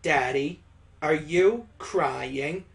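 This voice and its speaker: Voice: mid-range voice, very slow, gravelly. A let's play host voicing a bossy, teasing girl who bluntly scolds and mocks her father in a visual novel. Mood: scornful, scolding. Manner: scolding, blunt, ordering others around.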